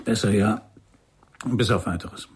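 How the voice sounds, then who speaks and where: A man's voice: lowish voice, measured pace, breathy edge. An actor playing a middle-aged private detective in a noir radio play, narrating his case in a dry, laconic, sardonic way. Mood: wry, reflective. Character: hard-boiled male private detective narrating his case, wry and probing.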